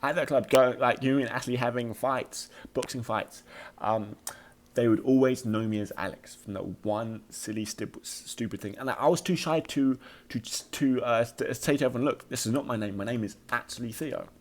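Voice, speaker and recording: A man giving an informal motivational talk as a podcast host, with a slight occasional stutter and a halting, emphatic delivery that repeats words. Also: mid-range voice.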